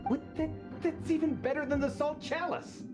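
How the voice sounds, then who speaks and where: A man's voice: very high voice, fairly even intonation. An actor playing a greedy, boastful male merchant who grasps at treasure and turns angry and haughty. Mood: indignant. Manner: grasping, quick to anger, haughty. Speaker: expedition sponsor. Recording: game cutscene over music. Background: music.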